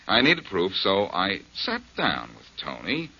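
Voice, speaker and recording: A man's voice: low voice, wildly swooping pitch, measured pace, bright, forceful tone, exaggerated voice. An actor playing a breezy, amused male detective in a 1940s radio drama, recorded on a muffled old broadcast. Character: wry, cocky male detective hero.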